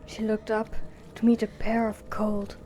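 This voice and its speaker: A woman's voice: medium pitch, completely flat delivery, measured pace, warm tone, a little hiss or hum. A woman narrator reading a scripted story for an audio drama.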